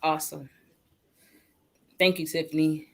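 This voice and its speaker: A woman's voice: low-pitched, flat delivery, unhurried. A woman moderating a casual panel, thanking guests and putting questions to them. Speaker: host, moderator.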